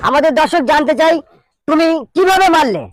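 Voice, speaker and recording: A man's voice: very high-pitched, lively intonation, fairly quick, a little hiss or hum. A man voicing a cheeky, jittery, irreverent field reporter in a comedy cartoon news spoof. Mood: nervous.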